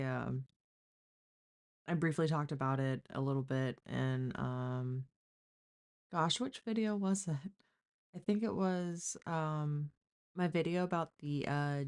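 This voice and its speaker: Very low, slow-talking woman chatting casually. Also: darkish tone, clean tone. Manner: hesitant, halting, thinking aloud. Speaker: live stream host. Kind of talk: casual chat commentary.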